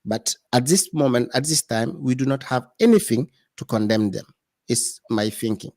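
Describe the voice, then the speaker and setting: A man's voice: mid-range voice, normal speed, darkish tone, no echo, studio quality. A man as a guest commentator in an interview, speaking in earnest, repeating phrases for emphasis.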